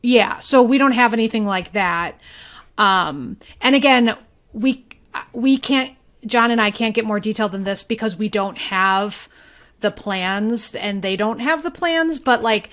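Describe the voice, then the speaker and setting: A woman's voice: mid-range voice, measured pace, a bit bright. A woman speaking as a guest journalist, talking through local news conversationally and qualifying her points as she explains.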